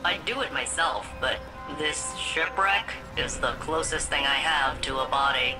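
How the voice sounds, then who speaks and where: A woman's voice: low-pitched, measured pace, low-quality recording. An actress voicing a quirky, cheerful female ship AI in a video game, with a chirpy, playful delivery. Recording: game audio with loud music.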